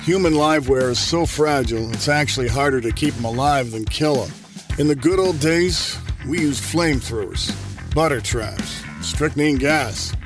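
A man playing a dry, superior robot pest-control technician in an audio fiction comedy, clinical and deadpan. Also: medium pitch, moderate pace, music in the background, close to an everyday voice. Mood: matter-of-fact.

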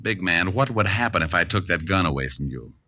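An actor playing a wisecracking, unflappable private detective with a wry, glib delivery in 1940s radio drama style. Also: male voice, sits low, expressive, a little fast, bright, forceful tone, highs cut off, exaggerated voice. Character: wisecracking, unflappable male private detective narrating his case. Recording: old 1940s radio recording with hiss.

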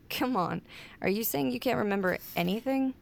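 An actress playing a friendly, teasing, curious young woman in an audio drama, casual and creaky-voiced. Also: on the high side, normal speed. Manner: playful, casual. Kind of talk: naturalistic audio fiction acting.

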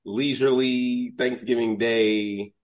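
A man's voice: medium pitch, steady tone, takes it slowly. A man co-hosting a podcast in laid-back, playful casual chat. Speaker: podcast co-host.

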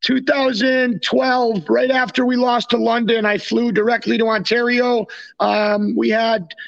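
Young man, very high pitch, vocal fry, slangy conversational podcast co-host, dull recording. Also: flat delivery, a little slow. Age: young adult. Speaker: podcast co-host, former hockey goalie. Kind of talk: casual chat, anecdotes.

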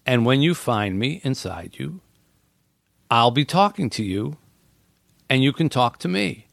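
A man's voice: medium pitch, very animated, measured pace, creaky, dry recording, studio quality. A middle-aged man, a podcast guest, talking in an earnest, thoughtful way with frequent "you know" fillers. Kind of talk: reflective casual conversation.

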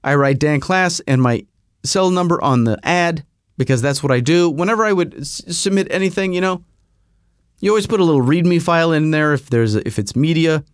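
A man's voice: fairly high pitch, fairly quick, no echo, studio quality. A man hosting a podcast who tells a rambling comic story full of self-mocking asides. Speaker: podcast host.

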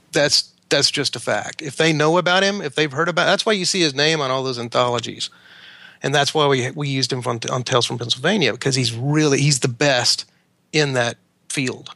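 Bright, emphatic man in a dry recording. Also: mid-range voice, a little fast, no room sound. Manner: emphatic, repeats words for stress. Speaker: author, podcast guest. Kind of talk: conversational interview talk.

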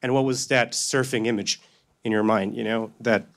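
A man's voice: mid-range voice, steady tone, normal speed, creaky. Man rambling through an audience question. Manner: rambling, searching for words. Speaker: audience member asking a question.

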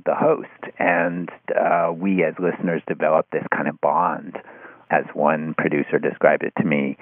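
A man being interviewed as a writer, talking thoughtfully and haltingly, repeating words as he works out his point. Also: low-pitched, dry recording. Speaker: interview guest, magazine writer. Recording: thin, phone-like line.

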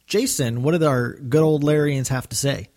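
A man's voice: mid-range voice, moderate pace, darkish tone. A man co-hosting a fan podcast, talking casually and chattily with rambling asides. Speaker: podcast co-host.

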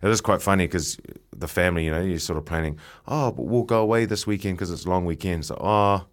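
A young New man, a professional rugby player, chatting as a radio guest in a halting way and repeating his words. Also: low voice. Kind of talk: casual radio chat.

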